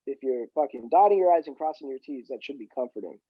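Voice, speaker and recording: A man's voice: medium pitch, expressive, fast, no echo, phone-quality sound. A man whose first language is Punjabi, a guest on a podcast who talks in a wry, joking way and swears casually. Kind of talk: casual chat.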